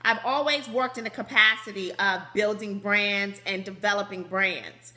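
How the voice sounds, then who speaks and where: Bubbly bright-voiced woman on a phone call. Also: moderate pace. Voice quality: high, slightly squeaky voice. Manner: bubbly, laughs often, chatty. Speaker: host of a business conference call. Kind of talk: upbeat motivational call talk. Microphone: phone conference line.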